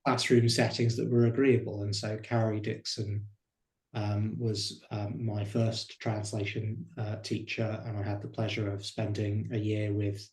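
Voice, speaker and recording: A man's voice: fairly low pitch, level tone, unhurried, darkish tone. Dry-humoured man in an echoey room. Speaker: literary translator, panelist. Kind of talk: panel discussion answer.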